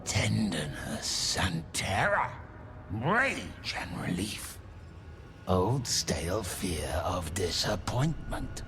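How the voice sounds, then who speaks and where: A hungry, possessive video game demon, speaking in terse fragments. The breathy voice sinks low and often slips toward a whisper. Delivery is very slow yet swings widely in pitch. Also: male voice, sing-song, music in the background, full character voice. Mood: brooding, intense. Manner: clipped, fragmentary phrases. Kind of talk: video game character acting.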